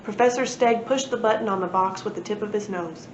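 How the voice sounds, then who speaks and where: A woman storyteller at a library reading a children's book aloud expressively. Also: brisk. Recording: echoey room, muffled, noisy low rumble.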